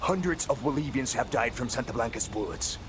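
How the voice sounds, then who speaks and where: Game role: impassioned male guerrilla chief acted by a man, stern, urgent, mid-pitched, clear noise behind. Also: lively intonation, brisk, lightly acted. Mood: indignant. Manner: indignant, urgent, rhetorical. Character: stern, impassioned male Bolivian rebel leader. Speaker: rebel leader. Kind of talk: cinematic game dialogue.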